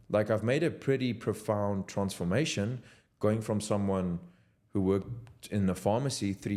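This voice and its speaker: A young man speaks in a deep, low voice. He talks to the camera from his desk at home, earnest and motivational. He sounds direct and unscripted. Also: darkish tone. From South Africa. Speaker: content creator. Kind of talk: unscripted talking-head vlog.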